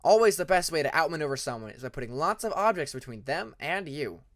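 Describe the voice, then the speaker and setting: A man's voice: fairly high pitch, big pitch swings, fast talker, a bit bright, dry recording, studio quality. A man voicing a friendly male dragon companion in a story, breezy and encouraging.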